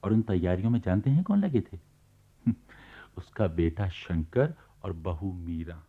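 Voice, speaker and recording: Low-voiced chatty storyteller, thin sound. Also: male voice, expressive, measured pace. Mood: amused, confiding. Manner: conversational, addresses listener directly. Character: chatty male neighbour narrating the story. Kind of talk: radio play narration. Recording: thin, phone-like archive radio sound.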